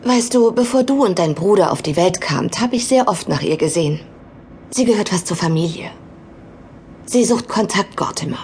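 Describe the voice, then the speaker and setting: An actress playing a patient, affectionate mother in an audio drama, gently coaxing. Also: lowish voice, animated, normal speed, slight background noise, lightly acted. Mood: gentle, earnest.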